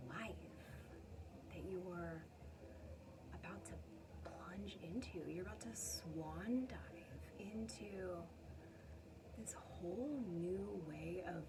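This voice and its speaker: A woman doing a casual, spontaneous intuitive tarot reading, with dramatic, drawn-out phrasing and pauses. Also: on the low side, background noise. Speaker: tarot reader.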